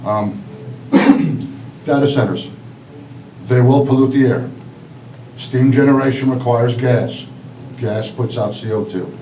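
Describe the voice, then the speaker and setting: A middle-aged man from Greece, an electrician, giving a plain, emphatic public comment at a city meeting. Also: fairly low pitch. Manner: plain, emphatic, repeating key words. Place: council meeting room. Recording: echoey hall, distant mic, faint noise.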